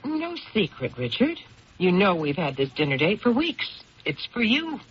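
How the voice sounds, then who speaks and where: A woman's voice: on the low side, sing-song, normal speed, breathy edge, exaggerated voice. A woman playing an affectionate, ambitious politician's wife in a 1970s radio drama, coaxing and attentive. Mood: loving, eager. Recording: dull, faintly noisy 1970s radio broadcast.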